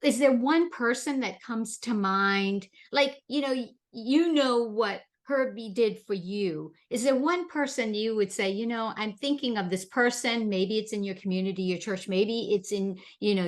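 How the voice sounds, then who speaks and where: A woman originally from the Bahamas hosts a leadership interview in an encouraging way, often repeating words as she builds up her questions. Her voice sits at a middle pitch. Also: unhurried. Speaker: podcast host. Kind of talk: interview chat.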